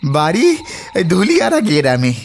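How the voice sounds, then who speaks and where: A man's voice: high-pitched, huge pitch swings, measured pace, full character voice. A man playing a distraught, devout rural Bengali boatman in an audio drama, with a folksy, deferential, repetitive way of talking and a village accent. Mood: anxious explaining. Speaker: voice actor in audio story. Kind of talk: melodramatic audio drama acting.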